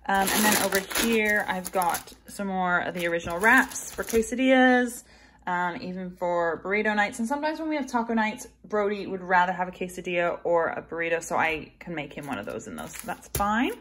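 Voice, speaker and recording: A woman's voice: lowish voice, expressive, a little fast, background noise. Chatty woman narrating a grocery haul. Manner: chatty, talking while handling items. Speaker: vlogger. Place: home kitchen.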